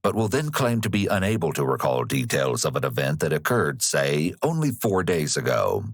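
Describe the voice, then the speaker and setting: Very deep gravelly male narrator. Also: sing-song. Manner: measured, explanatory. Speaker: narrator of a true crime analysis video. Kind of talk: scripted analytical narration.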